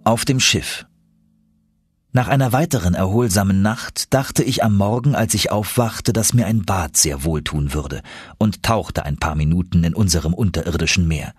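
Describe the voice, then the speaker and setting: A man with a husky voice narrating a first-person adventure story as an audiobook, expressive and dramatic. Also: low voice, normal speed, no room sound, clean studio sound.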